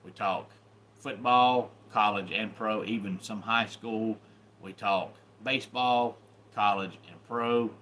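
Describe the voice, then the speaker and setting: Southern guy in his 30s, folksy live chat host, bit of a low voice. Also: fairly even intonation, takes it slowly, fairly bright tone, slight background noise. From Southern United States. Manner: folksy and welcoming, greets viewers by name. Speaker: YouTube channel host. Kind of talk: casual live-stream chat.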